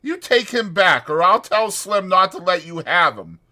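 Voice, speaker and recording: A man voicing an exasperated but protective ranch hand in a dramatized audiobook, blunt and scolding, with a rough folksy drawl. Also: high voice, very animated, normal speed, sharp tone, voice pushed up, exaggerated voice. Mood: scolding, firm. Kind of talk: dramatized audiobook character acting.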